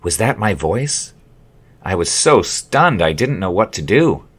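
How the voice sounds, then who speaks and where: Male, audiobook narration, low pitch, unhurried pace, wry and expressive, author reading a memoir. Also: husky.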